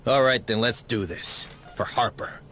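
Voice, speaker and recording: A man's voice: medium pitch, measured pace. An actor playing a wary, sardonic, blunt-talking male action sidekick in a sci-fi video game. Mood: resolved. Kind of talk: cinematic video game acting. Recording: loud music under dialogue.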